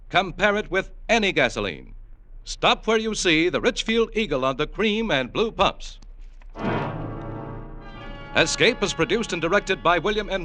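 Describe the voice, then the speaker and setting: A man's voice: sits high, sharp tone, dry recording. An American man announcing scripted advertisements and credits in 1950s radio style, polished and emphatic.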